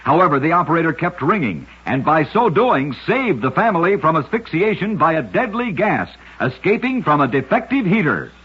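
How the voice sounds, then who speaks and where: A man narrating short scripted stories for radio in a measured, dramatic storyteller style. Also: a little high, very animated, husky. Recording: muffled vintage-style radio recording.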